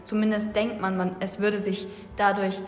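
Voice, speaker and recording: A woman's voice: mid-range voice, level tone, normal speed, soft background music. Woman, Saxon accent, chatty, fillers, echoey room. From Saxony, Germany. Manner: chatty, thinks aloud with fillers. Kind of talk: casual discussion. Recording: echoey room with long reverb.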